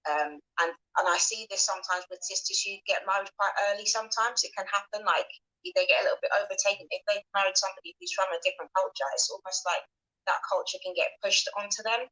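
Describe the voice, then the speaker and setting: A woman's voice: mid-range voice, fast, pushed and bright, poor audio. A woman hosting a casual interview and reading out viewer comments, rambling a little with many filler words. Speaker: host interviewing a guest.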